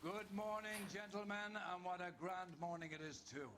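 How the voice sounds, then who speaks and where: Pompous police captain, grandiose oratory, dramatic video game delivery, faint background noise. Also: male voice, high-pitched, moderate pace, a bit bright. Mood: jovial, commanding. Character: pompous, theatrical male police captain addressing his detectives.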